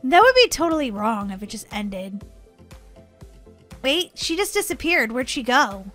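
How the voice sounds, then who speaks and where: Excitable girly woman doing gameplay commentary, super high n bright, kinda childlike, bit of music behind. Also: a little slow. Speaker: gaming video host.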